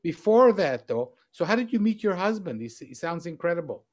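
A man's voice: sits high, sing-song, a little fast, darkish tone. A middle-aged man hosting a show over a video call from a room with bookshelves, teasing and encouraging his guest. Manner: teasing and encouraging, gestures while speaking. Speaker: host. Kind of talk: casual video call interview. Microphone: video call webcam.

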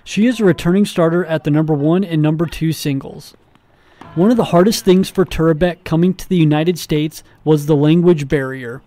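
A man reading a scripted news voice-over as a student reporter, steady and measured. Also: a little high.

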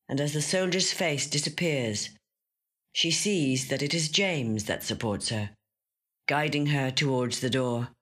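Slow, measured woman reading a story. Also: low-pitched, a bit bright. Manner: measured, deliberate, repeating phrases for weight. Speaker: actor reading a short story. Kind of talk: dramatic literary story narration. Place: recording studio.

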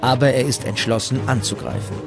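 A man's voice: mid-range voice, brisk, vocal fry. A man reading scripted documentary narration as the narrator. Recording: music bed behind the voice. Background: music.

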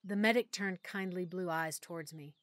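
A woman narrating a full-cast audiobook in first person, with expressive, clear storytelling. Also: lowish voice, normal speed, no echo. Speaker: narrator and lead character.

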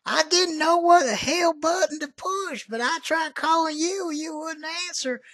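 A man's voice: very high-pitched, unhurried, a bit bright, full character voice. A man doing a salty old Southern country woman. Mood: brash flirty. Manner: folksy, salty, drawling, calls people honey. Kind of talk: prank call.

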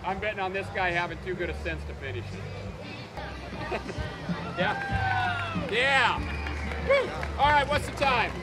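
A man's voice: high voice, big pitch swings, slow pace, long pauses. Guy running a pizza eating contest outdoors, upbeat, fair music blaring behind. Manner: upbeat, announcing to a crowd. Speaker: pizzeria owner and event emcee.

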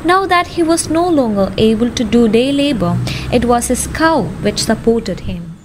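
Narrator: young woman, fairly high voice, scripted storytelling, clear ambient noise behind. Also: lively intonation, normal speed. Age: young adult.

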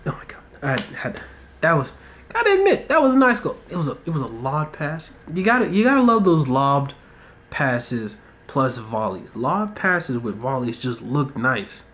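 A young man talks casually over a mobile football match, half to himself, slipping into mild rants. His voice sits at a middle pitch for a man. It is recorded on a webcam in a room, with a faint hiss underneath. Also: very animated, moderate pace, a bit bright. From United States. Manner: laid-back, muttering asides, ranting. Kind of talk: relaxed gameplay commentary. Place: indoor room.

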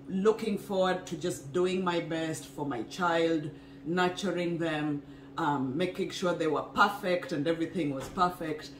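Woman in her 30s from Kenya, earnest storyteller on a home video, echoey kitchen. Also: on the low side. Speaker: co-host, wellness couple. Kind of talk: casual personal story to camera. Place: home kitchen, indoors, small room. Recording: echoey room, faint noise.

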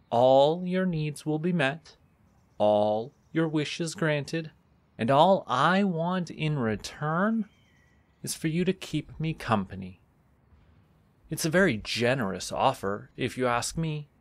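Archfey captor, played by a man, male, immortal; very animated intonation, silky, teasing, condescendingly affectionate; fantasy audio roleplay. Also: on the high side. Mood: persuasive, tender. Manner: courtly, coaxing, condescendingly affectionate.

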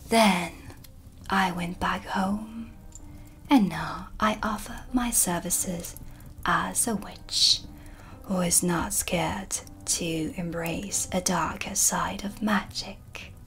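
Give the dark witch a lowish, hushed voice , acted by a woman in a cinematic ASMR roleplay. She speaks very slowly and deliberately, mysterious and dryly teasing, close to the mic. Soft music sits behind her. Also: fairly even intonation. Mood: mysterious, guiding. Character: dark witch, female, mysterious, sardonic, calm teacher of shadow magic. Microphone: close studio mic for ASMR.

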